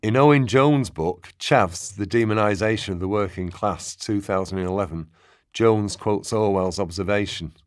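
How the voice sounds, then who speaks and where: A man's voice: fairly low pitch, moderate pace, dry recording, studio quality. A man narrating a scripted, documentary-style radio play.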